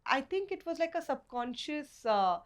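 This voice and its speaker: A woman's voice: high voice, big pitch swings, moderate pace. A young woman from Karnataka with a South Indian Kannada accent, chatting casually as a podcast guest and filling her talk with "like" and "you know". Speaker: guest photographer.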